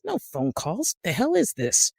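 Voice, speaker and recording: A woman's voice: medium pitch, huge pitch swings, a little slow, vocal fry, darkish tone, slightly rough, dry recording, studio quality. Casting: American woman, podcast guest type, conversational, emphatic with wry humor, very little room sound. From Utah, United States. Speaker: guest actor and screenwriter. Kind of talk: casual podcast interview.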